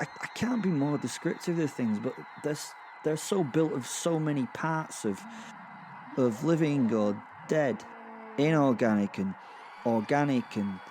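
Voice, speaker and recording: A man's voice: medium pitch, background noise, natural voice. A man playing a sadistic, gleeful werewolf killer, narrating a horror audio drama in a rambling, conversational way full of hesitant, amused understatement. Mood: fascinated, rambling.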